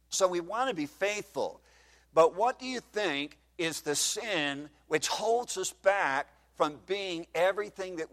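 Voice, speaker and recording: A man in his fifties preaching a sermon in a church, reading scripture in old-style wording and putting questions to the congregation. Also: a little high. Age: 50s. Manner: reads scripture in King James wording. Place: church hall, slightly echoey. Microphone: microphone.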